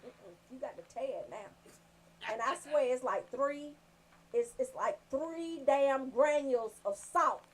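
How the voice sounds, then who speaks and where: A woman's voice: sits high, expressive, takes it slowly, breathy edge. A woman doing a casual, comedic rant as a radio host, in a brash, profane, storytelling way.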